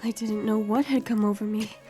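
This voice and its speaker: A young woman playing a wary, homeless heroine in her twenties, telling her story softly and with measure in an audio drama. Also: mid-range voice, fairly even intonation, a little fast, faint music behind, close to an everyday voice. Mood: reflective. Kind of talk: first-person audio drama narration and dialogue.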